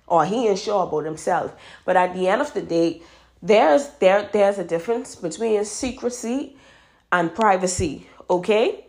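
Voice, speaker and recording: A woman's voice: medium pitch, gravelly, a little mellow. A Bahamian woman in her twenties with a Bahamian accent gives emphatic dating advice straight to her listeners and repeats words for stress. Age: 20s. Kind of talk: casual advice monologue.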